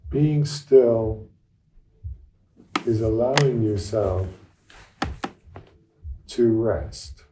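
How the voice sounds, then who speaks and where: A man leading a guided meditation as a teacher, speaking gently and without hurry in short phrases with long pauses. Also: fairly low pitch, a little hiss or hum. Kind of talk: guided meditation, unscripted and instructional. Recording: roomy echo, distant microphone.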